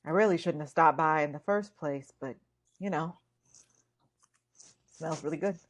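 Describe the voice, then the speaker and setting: An actress playing an awkward, earnest young seller turned investigator in a naturalistic radio drama. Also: female voice, sits low. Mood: flustered, smitten. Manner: halting, flustered, earnest, wry. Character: awkward, smitten young privacy-gear seller and amateur investigator, Lavender.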